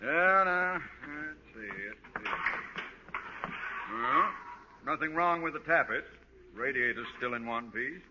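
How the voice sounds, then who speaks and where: An actor playing a pompous, hot-tempered middle-aged school principal who sputters when outraged, in a 1940s radio sitcom style. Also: male voice, fairly high pitch, animated, slow, long pauses. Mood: pompous, commanding. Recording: vintage radio, dull, reverberant, hissy.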